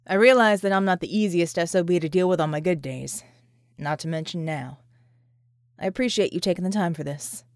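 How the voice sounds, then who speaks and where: A woman's voice: lowish voice, a little fast, dry recording, pitched below the performer's own voice, lightly acted. A woman voicing a laconic, wry soldier man in an audiobook, polite and easygoing with a dry, clipped manner. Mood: sincere, grateful. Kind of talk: audiobook character dialogue.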